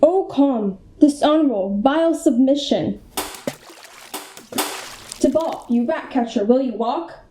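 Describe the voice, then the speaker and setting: A taunting young Shakespearean swordsman, acted by a woman declaims challenges for a comic radio play. The voice is high, amid loud noise in an echoing room. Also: animated, a little slow, pauses often. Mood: defiant, taunting. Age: young adult.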